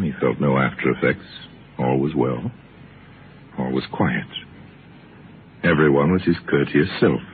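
Male narrator, extremely deep, grave and wry, measured radio mystery storytelling over music, narrow-band vintage sound. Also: slight background noise. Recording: lo-fi phone-like old radio recording, music.

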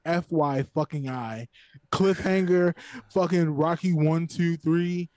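A man's voice: fairly high pitch, slow pace, mellow, no room sound. A man co-hosting a podcast, speaking bluntly and emphatically with plenty of swearing in a casual chat. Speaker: podcast co-host.

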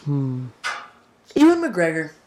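A young woman with a deeper voice than expected, a podcast guest in casual, profane, joking chat who laughs often. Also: wildly swooping pitch, slow, warm tone.

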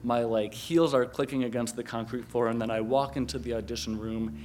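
A man from Connecticut telling a personal story live on stage, animated and laughing often, with many filler words. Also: mid-range voice, brisk. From Connecticut, USA. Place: live stage before an audience.

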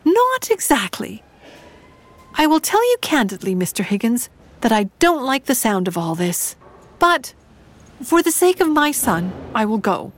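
A woman's voice: a little high, very animated, measured pace. An actress playing a shrewd, genteel middle-aged mother in an audio drama, speaking formally and precisely with dry, sceptical wit. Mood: sceptical, resolute. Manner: formal, precise, dryly pointed.

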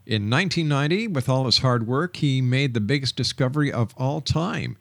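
A man's voice: medium pitch, expressive, brisk, gravelly, a bit bright, no room sound. A man hosting a radio talk show, introducing and interviewing in a polished, announcer-like way. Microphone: close studio microphone.

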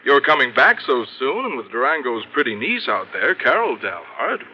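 A man's voice: mid-range voice, big pitch swings, normal speed, natural voice. An American actor playing an evasive insurance executive in a 1950s radio drama, hesitant and stammering as he talks. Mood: probing, hesitant. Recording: muffled, faintly noisy 1950s radio recording.

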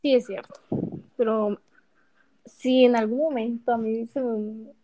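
A young woman from Guatemala, giving brief, soft-spoken replies in a casual live chat. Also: on the high side, fairly even intonation, unhurried, low-quality recording.

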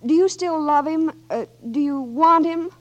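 An earnest Red Cross worker. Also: female voice, high-pitched, unhurried. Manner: gentle, earnest, hesitant. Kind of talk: 1940s radio acting.

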